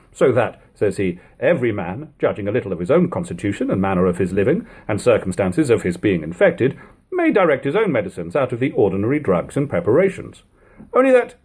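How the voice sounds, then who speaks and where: Expressive male narrator with a husky edge. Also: mid-range voice. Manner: measured, emphatic, old-fashioned literary phrasing. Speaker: audiobook narrator. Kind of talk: dramatic reading of an old first-person chronicle.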